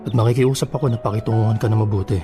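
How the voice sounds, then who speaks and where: Actor as a soothing Filipino boyfriend over loud music. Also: male voice, on the low side, steady tone, racing, natural voice. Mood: soothing, apologetic. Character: soothing adult live-in boyfriend, patient and apologetic. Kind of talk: Filipino radio drama acting. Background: background music.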